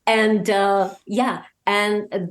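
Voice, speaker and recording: A woman's voice: medium pitch, flat delivery, slow pace. A woman speaking as an interview guest, explaining things casually with hesitant phrasing. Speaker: guest, voice actor and scriptwriter.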